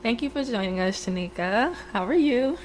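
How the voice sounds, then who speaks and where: A woman's voice: on the high side, lively intonation, moderate pace. A woman in her thirties hosting a podcast. She is under the weather with a cold and talks in a chatty, upbeat way. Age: 30s. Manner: chatty, upbeat, frequent "um" and "like" asides. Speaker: podcast host. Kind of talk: casual conversational interviewing.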